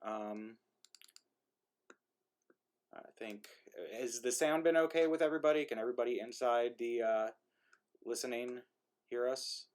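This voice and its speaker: A man's voice: mid-range voice, steady tone, a little slow, pauses often, a little mellow, smooth. Mumbly man making casual announcements. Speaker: host of an online play reading. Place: indoor room, live online stream.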